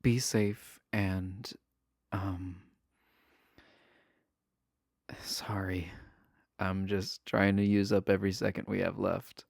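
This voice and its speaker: A man acts out an adorkable jailed villain in an intimate romance audio roleplay. His low voice has a gravelly creak and moves slowly, with nervous, halting stammers and an earnest, smitten tone. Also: flat delivery, a little mellow, no room sound, clean studio sound, heavily acted. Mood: hushed, tender. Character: shy, adorkable imprisoned male villain, smitten with pen pal.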